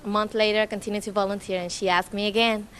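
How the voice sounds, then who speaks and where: A woman's voice: medium pitch, rapid-fire, clean tone, low-quality recording. A woman in her thirties from Colombia with a Colombian Spanish accent, a native Spanish speaker, giving an informal public talk and chatting through her story. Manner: chatty, storytelling, animated retellings. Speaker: nonprofit staff speaker.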